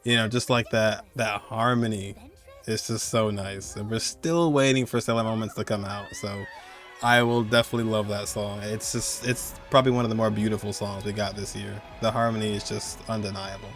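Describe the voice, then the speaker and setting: Low-pitched man, casual gaming countdown host. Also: fairly even intonation, moderate pace, a bit bright, faint music behind. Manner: informal, rambling, emphatic. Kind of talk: casual excited countdown commentary.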